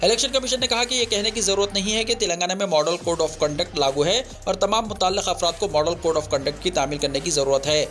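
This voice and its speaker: Fast, high-pitched male news reader. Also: steady tone, a bit bright, faint music behind. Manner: formal, declarative reading. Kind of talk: scripted Urdu news bulletin narration.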